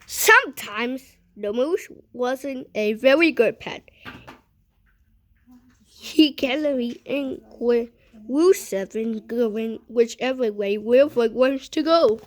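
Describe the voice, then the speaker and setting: A woman reading a children's picture book aloud in a deliberate way, pausing between short phrases. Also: fairly high pitch. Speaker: storybook reader.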